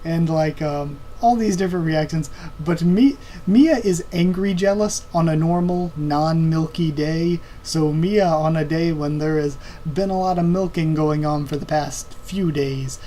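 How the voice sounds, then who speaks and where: Higher-voiced man, informal and halting, noisy recording. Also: dark tone. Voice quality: voice tiring, slightly strained. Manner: informal, halting, thinking aloud. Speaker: online video host. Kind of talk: casual Q&A commentary on anime and comics.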